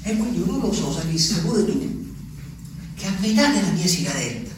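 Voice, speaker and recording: A wistful old peasant. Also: male voice, high voice, big pitch swings, normal speed. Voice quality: musing, talks to the moon, rambling. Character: old lonely Abruzzese peasant man, wistful. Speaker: stage actor. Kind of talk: theatrical monologue. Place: theatre stage. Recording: distant stage recording with reverb and faint noise.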